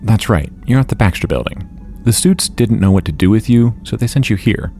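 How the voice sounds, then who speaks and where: A man playing a calm, reassuring scientist hero in an audio drama, talking in a measured way. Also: fairly low pitch, faint music behind. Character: calm, measured male superhero scientist tending a patient.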